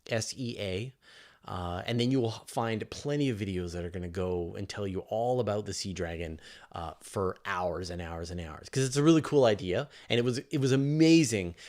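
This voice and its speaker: Guy with a lowish voice doing a casual livestream q&a, keen explainer, thinks out loud as he goes. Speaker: host of a space Q&A livestream. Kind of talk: casual live question-and-answer chat.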